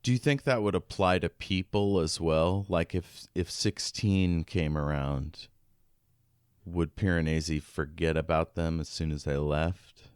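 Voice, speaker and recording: A man's voice: deep voice, steady tone, unhurried, clear, resonant tone, no room sound, studio quality. A man co-hosting a podcast, casually discussing a book in an informal, musing way. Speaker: podcast co-host.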